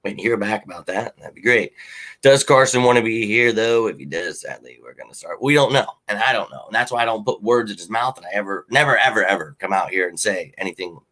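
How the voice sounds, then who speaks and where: A man with a fairly low, slightly hoarse voice talks sports in a casual, rambling way. He hosts a fan show and drifts between opinions with plenty of ums and uhs. He sounds like a fan, opinionated and unpolished. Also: animated, fast, bright, forceful tone, poor audio. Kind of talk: casual sports talk with viewer comments.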